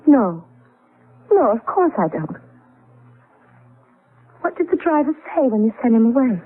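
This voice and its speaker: An excitable, playful wife from a 1970s radio play. She speaks in a slightly high, very animated voice with theatrical flourishes. The recording is thin and band-limited, like an old radio. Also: a little slow, husky, phone-quality sound, heavily acted. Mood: anxious. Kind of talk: 1970s radio drama acting.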